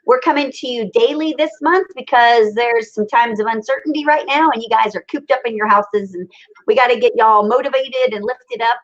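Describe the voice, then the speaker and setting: A woman hosting an online interview show, upbeat and encouraging, speaking over a video call. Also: a little high. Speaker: show host. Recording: dull lo-fi sound.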